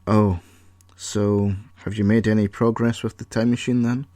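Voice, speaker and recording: A man's voice: lowish voice, flat delivery, normal speed, warm tone, no echo, studio quality, lightly acted. A man voicing an easygoing young man who talks in a hesitant, apologetic way. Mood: sheepish. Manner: apologetic, hesitant, laid-back.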